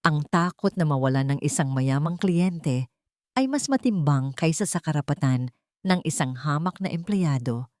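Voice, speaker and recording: Animated woman narrating, lower-pitched. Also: normal speed, dry recording, studio quality. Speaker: story narrator. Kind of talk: dramatic scripted narration.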